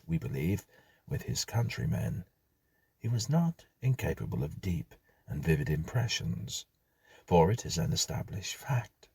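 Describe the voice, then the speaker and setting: A man reading a gothic story as an audiobook narrator, in a gravelly, husky voice with a measured, dramatic, formal literary delivery. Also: low-pitched, a little mellow, no room sound.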